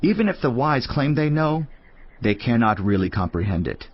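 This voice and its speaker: A man playing a weary, reflective teacher of wisdom in a dramatised audio Bible, husky-voiced, with a measured, earnest, declamatory delivery. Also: mid-range voice, sing-song, muffled recording. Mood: solemn, reflective. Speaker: scripture narrator. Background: music.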